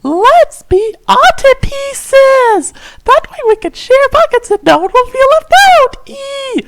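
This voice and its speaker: A man voicing an excitable fairy girl in a piercing falsetto for a comedic story reading, gushing and melodramatic. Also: noisy background, exaggerated voice. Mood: excited. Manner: gushing, melodramatic, drawn-out words. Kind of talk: comedic dramatic reading of fan fiction.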